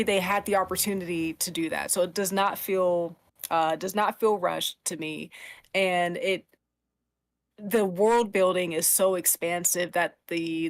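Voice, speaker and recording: A woman's voice: on the low side, dry recording. Casual woman podcast panelist, close dry sound. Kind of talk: casual chat about a TV show.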